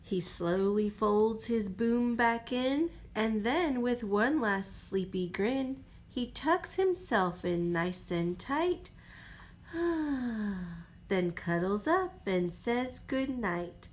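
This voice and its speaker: Woman reading a storybook with very lively pitch. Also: extremely slow. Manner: expressive, drops to whispers for goodnights. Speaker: mother reading to a child. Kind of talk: storybook read-aloud.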